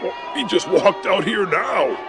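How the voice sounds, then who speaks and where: Exasperated creaky-voiced wrestling interviewer. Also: male voice, high voice, big pitch swings, a little slow, music in the background, a crowd in the background, exaggerated voice. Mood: incredulous. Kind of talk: audio drama acting as wrestling broadcast.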